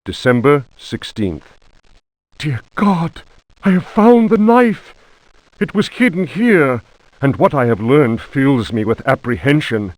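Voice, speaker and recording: A man's voice: mid-range voice, sing-song, takes it slowly, no echo, poor audio. An actor playing a haunted, anxious painter who is sliding into madness, reading his diary in a theatrical, brooding way for a horror video game. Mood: horrified, agitated.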